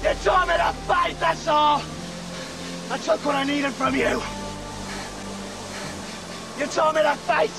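Cruel young king, high sneering voice, taunting, shouting, music behind. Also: steady tone, takes it slowly, pauses often, heavily acted. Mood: raging.

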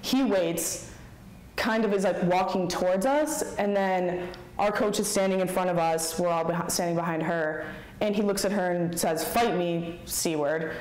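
A woman's voice: lowish voice, measured pace, darkish tone. Young American woman in an echoey room. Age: young adult. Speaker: interviewee, college cheerleader. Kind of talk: casual interview recounting. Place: small indoor room with plain walls. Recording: echoey small bare room.

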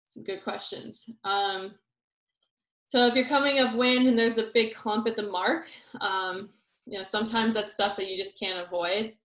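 Young adult woman, mid pitch, friendly and hesitant, roomy video-call sound. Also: steady tone, moderate pace, fairly bright tone. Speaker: Olympic sailor reading out questions. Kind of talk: casual online Q&A. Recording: echoey room on a video call.

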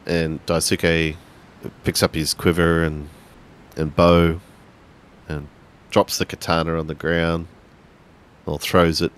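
A man improvising narration for his character in a tabletop role-playing game, halting as he searches for words. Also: low voice, level tone.

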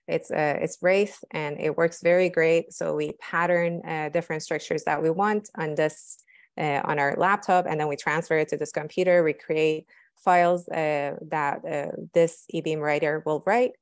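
Young woman scientist giving a lab tour, lots of uhs, lowish gravelly voice, dry sound. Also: steady tone, moderate pace, no echo. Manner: hesitant, many fillers, technical. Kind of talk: walking lab tour explanation. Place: cleanroom fabrication lab, indoors.

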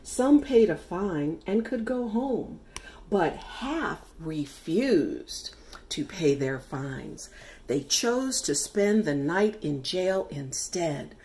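A middle-aged woman reading a children's picture book aloud with deliberate, emphatic, dramatic phrasing. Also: fairly low pitch, very animated, warm tone, slight background noise. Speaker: read-aloud storyteller.

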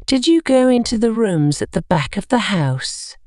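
A woman's voice: fairly high pitch, sing-song, moderate pace, darkish tone, no echo, clean studio sound. A woman voicing a troubled, secretive lord and husband in a story, stern and commanding. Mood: angry.

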